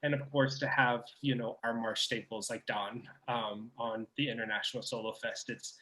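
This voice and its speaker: Theater staffer, man, bright voice, halting and full of fillers, heard over a video call. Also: mid-range voice, steady tone, poor audio. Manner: hesitant, many fillers and restarts. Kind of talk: casual conversation on a video call.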